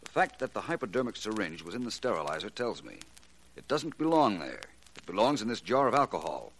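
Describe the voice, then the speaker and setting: 1940s radio private eye: American, low, lively inflection, shrewd, courteous, unruffled. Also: male voice, fairly quick. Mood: assured, deductive. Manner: polished, courteous, unruffled deduction. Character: shrewd, self-assured gentleman private detective. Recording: noisy vintage radio recording with clicks.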